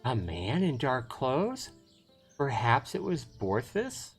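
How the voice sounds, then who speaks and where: A man's voice: medium pitch, sing-song, takes it slowly, soft background music. A man voicing a brusque, skeptical, scolding aunt in a dramatized fantasy visual novel reading.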